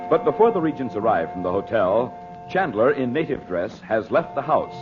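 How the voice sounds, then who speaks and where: Animated male 1940s radio announcer. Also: medium pitch, moderate pace. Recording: dull old radio transcription with music.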